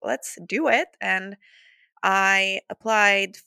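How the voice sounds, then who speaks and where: A woman's voice: medium pitch, drawn-out words, vocal fry, bright, forceful tone, clean tone, no echo, studio quality. A woman in her thirties from Sweden with a Swedish accent, hosting her podcast in a chatty, upbeat way with frequent laughs and restarts. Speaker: podcast host. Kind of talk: casual solo podcast storytelling.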